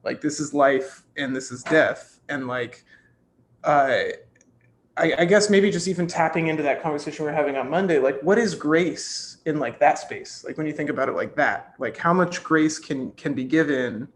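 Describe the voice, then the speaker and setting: A man's voice: on the high side, normal speed, slight background noise. A man hosting a casual group discussion, thoughtfully posing open questions to the others. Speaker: podcast discussion host.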